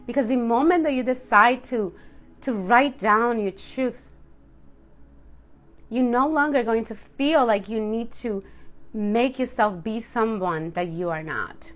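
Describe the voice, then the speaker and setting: A woman of thirty-nine giving a personal motivational talk to viewers as a self-love coach, speaking in a candid, reflective and emphatic way. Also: on the high side. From Dominican household.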